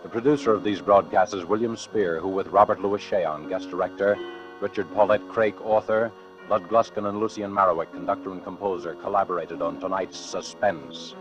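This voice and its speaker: An actor plays a suave, sinister man hosting an old radio suspense show. His very deep voice is formal, deliberate and ominous. It comes through a dull vintage broadcast with loud music behind. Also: fairly even intonation, lightly acted. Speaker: announcer and host of a suspense series. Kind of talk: 1940s radio announcing, scripted narration. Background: loud dramatic music.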